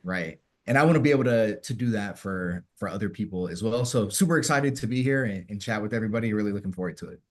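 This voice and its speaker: A man in his twenties, a panelist and doctoral candidate, introducing himself in a relaxed, self-deprecating way over a video call. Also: on the low side, fast talker, few pauses.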